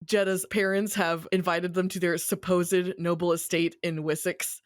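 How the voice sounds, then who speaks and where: Podcast host recapping a story, mid pitch voice, dry close recording, slangy and wry. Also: flat delivery, normal speed, no room sound. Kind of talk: casual recap discussion of a story.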